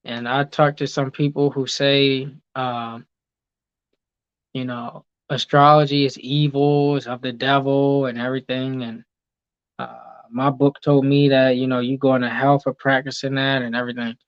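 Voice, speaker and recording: Unhurried man with lo-fi sound. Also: medium pitch, little pitch movement, clean tone, no echo. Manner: unhurried, many ums and okays, reads comments aloud. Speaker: host reading live chat. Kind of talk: casual teaching talk with viewers.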